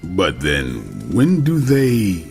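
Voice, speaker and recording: A man's voice: deep voice, huge pitch swings, drawn-out words, a little mellow, breathy edge, faint music behind, full character voice. A man acting as a wry, thoughtful hero who leads a group in a video game cutscene, speaking conversationally. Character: wry, thoughtful male hero leading a book club.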